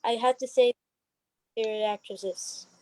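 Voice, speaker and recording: A woman's voice: on the high side, fairly even intonation, unhurried, dark tone, no room sound. A young woman chatting casually as an interview guest, tentative and sometimes trailing off. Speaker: stage actress, interview guest. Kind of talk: casual chat.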